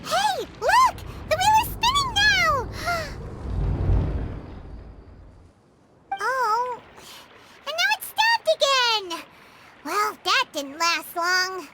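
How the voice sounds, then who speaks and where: Squeaky, very high little fairy. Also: female voice, sing-song, slow pace, exaggerated voice. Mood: excitable. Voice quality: squeaky, childlike. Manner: exclamatory, inquisitive. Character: tiny excitable childlike female fairy companion, curious and blunt. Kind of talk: animated fantasy game acting. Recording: loud background noise with clicks.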